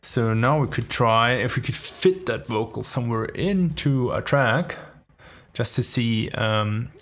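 A man's voice: mid-range voice, unhurried, fairly bright tone. Chatty man with a German accent. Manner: chatty, rambling, lots of "like" fillers. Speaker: music production tutor. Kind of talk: casual screen-recorded tutorial. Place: home studio room. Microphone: studio microphone near the face.

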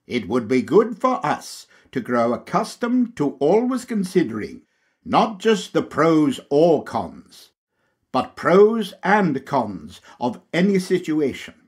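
Male audiobook reader for a lecture text: unhurried, measured delivery, slightly high pitch, animated intonation. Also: slightly rough. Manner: deliberate, measured, emphatic phrasing. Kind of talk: scripted lecture reading.

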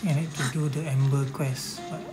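A gamer giving casual, halting walkthrough commentary, often repeating words. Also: medium pitch, level tone. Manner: halting, repetitive phrasing. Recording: voice under loud game music.

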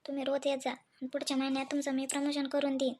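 A woman's voice: high voice, completely flat delivery, fast, lightly acted. A woman playing a courteous, approving office boss in a family story cartoon. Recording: faint background noise.

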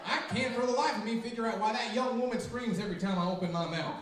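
An older man playing a gruff, sardonic, exasperated army drill sergeant in a live radio-style comedy skit. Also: very high voice, quick pace, crowd noise. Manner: barking, sardonic. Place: library room, live performance, indoors. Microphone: stand microphone, distant camera. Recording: echoey room, far mic, audience noise.

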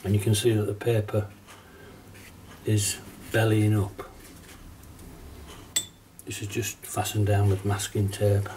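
A middle-aged man with a cold, sounding nasal, casually talking through a watercolour painting demonstration at his desk, relaxed and chatty with small laughs. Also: on the low side, slow, pauses often, distant mic, slight background noise, poor audio. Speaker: watercolour teacher. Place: painting desk at home, indoors.

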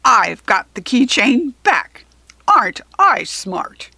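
A woman voicing a bratty, gloating male goblin in a children's story, with a shouting, screeching, sulky manner. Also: fairly high pitch, very animated, slow, gravelly, slightly rough, no room sound, heavily acted.

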